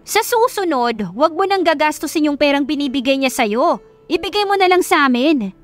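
A woman voicing a greedy middle-aged mother who scolds and belittles her daughter in a shrill, demanding manner for an audio love story. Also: lively intonation, moderate pace, soft background music. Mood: scornful scolding.

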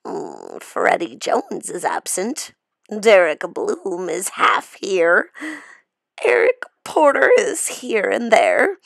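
A woman voicing a menacing, gleefully cruel female monster teacher from a storybook, with a cackling, drawn-out sinister delivery. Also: medium pitch, wildly swooping pitch, gravelly, dry recording, heavily acted. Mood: cackling mockery.

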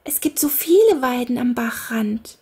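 A woman's voice: a little high, animated, unhurried, darkish tone, slightly rough, heavily acted. A woman voicing a carefree little girl, the daughter of a fairy king, who chatters lightly. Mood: eager.

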